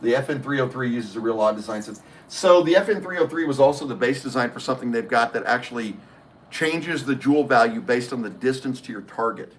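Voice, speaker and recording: A man's voice: medium pitch, lively intonation, fast, a little hiss or hum. A middle-aged man, hosting a casual livestream and chatting with viewers, reading their comments aloud and answering them. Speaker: livestream host. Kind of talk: casual live stream chat.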